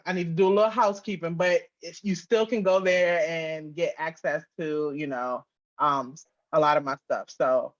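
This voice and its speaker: A woman chatting casually as an interview guest, on slightly dull, lo-fi audio. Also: lowish voice. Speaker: guest, audio drama writer and producer.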